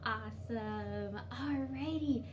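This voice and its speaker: A woman's voice: a little high, slow. A woman hosting a children's library story time, speaking in a simple, encouraging, sing-song way to young children. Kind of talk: children's story time with rhymes, signing and read-aloud. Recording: distant mic, noticeable background noise.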